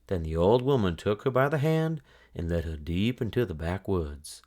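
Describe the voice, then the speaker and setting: A man narrating a children's storybook aloud in an expressive, dramatic style. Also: sits low, moderate pace.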